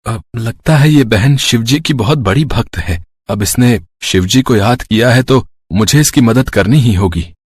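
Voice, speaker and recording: A man voicing a kindly, generous wealthy gentleman in a cartoon devotional story. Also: medium pitch, a little slow, hardly any pauses, slightly rough, no echo, studio quality. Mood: kind, benevolent. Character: kindly, generous rich man, secretly a benevolent god. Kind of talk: Hindi cartoon story acting.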